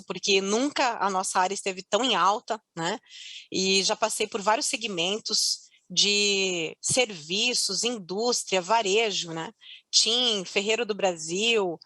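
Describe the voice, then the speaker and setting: A woman's voice: medium pitch, pushed and bright, no room sound. A woman from Curitiba speaks in her own strong Curitiba accent on an online business panel. Her delivery is chatty and upbeat, and she laughs easily. The sound is close and dry, with a mid-range voice. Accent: Curitiba Portuguese. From Curitiba, Brazil. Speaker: panelist, customer success head. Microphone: video call.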